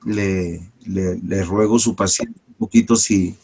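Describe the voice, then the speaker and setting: A man teaching an online Bible class as a pastor, a little congested from a cold, who speaks deliberately with restarts and stretched-out words. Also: low voice, fairly even intonation, mellow, breathy edge. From Peru. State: slight cold with a cough.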